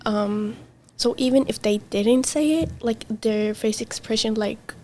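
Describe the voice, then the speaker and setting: A young woman, a native Arabic or Kurdish speaker, talking casually as an interviewee, halting with many fillers and trailing softly. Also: a little high. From Middle East. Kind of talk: casual conversation. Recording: faint background noise.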